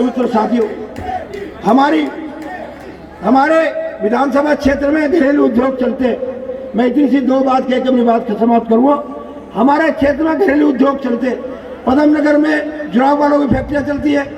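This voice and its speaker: A man giving a loud, declamatory campaign speech as a politician, using repeated phrases and rhetorical questions. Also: very high voice, highs cut off. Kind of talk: political rally oratory. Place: large public political gathering. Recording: echoey PA at crowded rally, distant mic.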